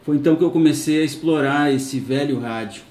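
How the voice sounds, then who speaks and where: A man's voice: fairly high pitch, a little fast, a little mellow. A man in his fifties with a southern Brazilian accent, telling personal recollections. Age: 50s. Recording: echoey room.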